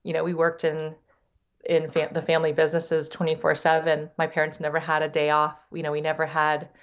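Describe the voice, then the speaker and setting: A woman's voice: deep voice, completely flat delivery, brisk, lo-fi sound. A middle-aged woman, Thai as her first language and raised in the United States, speaking reflectively as a podcast guest, often restarting her sentences. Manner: reflective, many restarts and repeated words. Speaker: guest, investor. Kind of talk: podcast interview.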